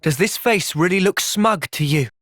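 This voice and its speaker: A man with an English accent voicing an earnest, thoughtful young soldier hero in a fantasy video game, speaking in a measured, reflective way. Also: high-pitched, fairly bright tone, dry recording, clean studio sound. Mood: calm, thoughtful. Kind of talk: video game cutscene acting.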